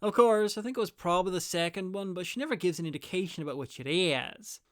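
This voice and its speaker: A man's voice: high-pitched, animated, quick pace. A man narrating a scripted review in an opinionated, wry manner. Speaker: reviewer presenting an audio drama guide.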